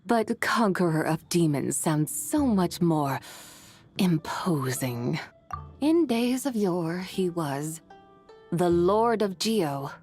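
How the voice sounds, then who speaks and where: A woman's voice: on the low side, animated, takes it slowly, a little mellow, soft background music. A woman voicing an ancient, genteel immortal lady in a video game, elegant and teasing, with archaic turns of phrase. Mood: teasing, lofty. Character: ancient adeptal woman, genteel, playful and enigmatic.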